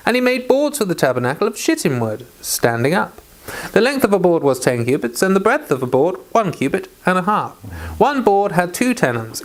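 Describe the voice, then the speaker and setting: A person reading Bible scripture aloud as a read-along, occasionally stumbling over and repeating words. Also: medium pitch. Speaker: Bible reader.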